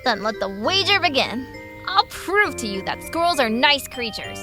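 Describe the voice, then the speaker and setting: A woman's voice: sits high, very animated, normal speed, over music. A woman playing a small, earnest, stubborn fox in an audio drama, questioning everything with disbelief. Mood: determined. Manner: questioning, incredulous. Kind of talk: audio drama character acting.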